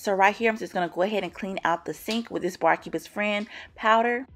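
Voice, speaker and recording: A woman's voice: medium pitch, a little fast, fairly bright tone, soft background music. A young adult woman narrating her home cleaning vlog in an upbeat, chatty voice-over. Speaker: cleaning vlogger.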